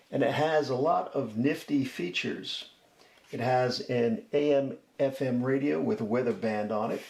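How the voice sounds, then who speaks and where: Gravelly man demoing gadgets in an echoey room. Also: mid-range voice, darkish tone. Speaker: host of a DIY product review channel. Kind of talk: casual product demo and review. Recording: roomy echo, faint background noise.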